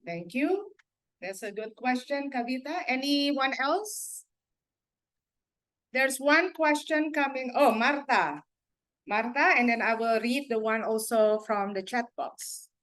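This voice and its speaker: A woman moderating a panel discussion and taking questions, relaxed and hesitant with many fillers. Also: high voice, takes it slowly.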